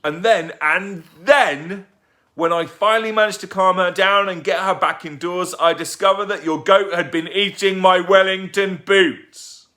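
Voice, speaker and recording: A man's voice: high-pitched, huge pitch swings, normal speed, hardly any pauses, a bit bright, pitched above the performer's own voice, heavily acted. A man voicing a short-tempered, furious male neighbour who growls and roars in an audiobook. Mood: roaring furious. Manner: growling, roaring, breathing heavily.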